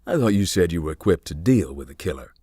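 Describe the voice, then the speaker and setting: An actor playing a dry, sardonic man who believes in ghosts, speaking deadpan in game dialogue. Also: sits low, quick pace, breathy edge, no room sound, full character voice.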